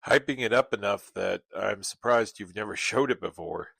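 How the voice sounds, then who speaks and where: A man's voice: fairly low pitch, normal speed, gravelly, fairly bright tone, slightly rough, dry recording, exaggerated voice. A male actor playing a laid-back, sardonic best friend in a radio comedy play, dry-witted and wisecracking. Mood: skeptical.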